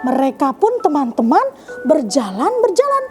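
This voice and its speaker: Woman, children's storyteller, very high pitch, interactive, questioning, exclaiming, music in background. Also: very animated, moderate pace, darkish tone. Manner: exclaiming, questioning the young audience.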